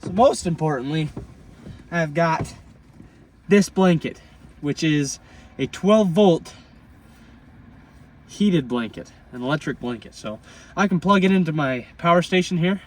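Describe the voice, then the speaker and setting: Raspy young man vlogging outdoors. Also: a little high, a little slow, pauses often. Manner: chatty, excitable, says dude and like. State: raspy from a cold. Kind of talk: casual vlog chat to camera. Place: outdoors by a lake, camping in a small car.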